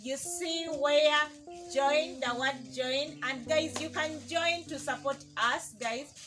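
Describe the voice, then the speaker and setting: A woman's voice: sits high, bright, forceful tone. A young Ugandan woman, Luganda her first language, vlogging outdoors in a village yard to a camera a few meters away, greeting viewers and calling them "guys". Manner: repeats "guys" and thanks viewers often. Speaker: vlogger host. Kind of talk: casual vlog to camera. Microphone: camera on a tripod, a few meters away.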